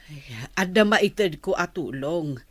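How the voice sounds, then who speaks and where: Slow, caring elderly mother. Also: lowish voice, animated, no echo. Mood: concerned, gentle. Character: caring elderly pensioner mother of a grown son. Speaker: radio drama actress. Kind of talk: radio drama acting in dialogue.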